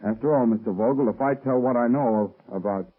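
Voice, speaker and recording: A man's voice: mid-range voice, breathy edge, lightly acted. An actor playing a meek, guilt-ridden family man in an old radio drama, speaking hesitantly and nervously. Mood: nervous, conscience-stricken. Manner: hesitant, halting delivery. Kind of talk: 1930s-40s radio drama acting. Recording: muffled, tinny vintage radio recording.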